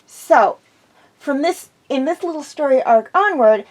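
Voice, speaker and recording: A woman's voice: high voice, expressive, a little slow. Chatty woman with a slightly stuffy nose. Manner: chatty, enthusiastic, teasing. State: slightly congested from a cold. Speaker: vlog host reviewing episodes. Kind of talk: casual review monologue.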